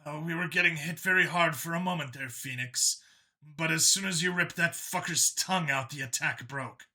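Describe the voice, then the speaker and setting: A man voicing a brisk female squad leader giving clipped military reports over comms in an audio story. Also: a little high, pushed and bright. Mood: strained, concerned. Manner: clipped military radio talk.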